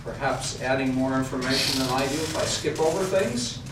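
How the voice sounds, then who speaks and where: A man's voice: mid-range voice, a little fast, background noise, close to an everyday voice. A man playing a courteous professor who welcomes guests and tells his life story in a formal, storytelling manner at a staged reenactment. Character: genial older male art professor hosting guests. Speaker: actor in a historical reenactment. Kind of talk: staged period reenactment monologue. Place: large echoey room in a house. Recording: distant mic, echoey room, audible ambience.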